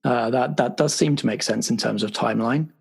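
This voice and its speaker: A man's voice: mid-range voice, a little fast, gravelly, darkish tone, slightly rough. A man playing an officious spy agency superior in an improvised roleplay, speaking in a hesitant, formal, politely pedantic way. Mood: officious, measured. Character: officious, bureaucratic male spy agency superior running a debriefing. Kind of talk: improvised roleplay audio drama.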